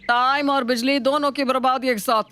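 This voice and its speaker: A man voicing a thrifty, easily exasperated older father-in-law in an animated moral story, with a scolding, exclamatory manner. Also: extremely high pitch, moderate pace, clean tone. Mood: irritated.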